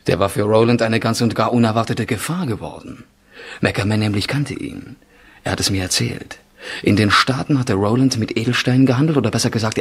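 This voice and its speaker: An actor playing an eager, self-assured police sergeant in a 1970s radio crime play, speaking precisely, as if explaining. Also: male voice, lowish voice, slightly rough.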